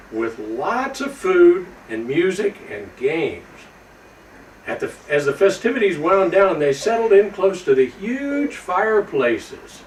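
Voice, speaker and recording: Man playing an animated, folksy storybook Santa, roomy sound. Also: a little high. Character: Santa Claus, jolly old man reading aloud to children. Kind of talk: storybook read-aloud to children. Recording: roomy, distant microphone with faint background noise.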